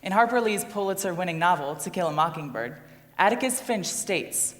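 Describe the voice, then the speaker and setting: Woman acting a composed female jury foreperson, slightly low pitch, solemn formal stage delivery, long reverberation. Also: lively intonation, lightly acted. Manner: formal, declarative, measured. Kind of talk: formal stage play recitation. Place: live stage performance in a hall. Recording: echoey hall, long reverb.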